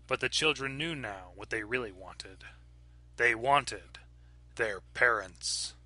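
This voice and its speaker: A man narrates a grim horror story in a steady, dramatic reading. His voice is bright and cutting, and the sound is dry and close, with no room around it. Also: medium pitch, slow, no echo. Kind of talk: scripted narration.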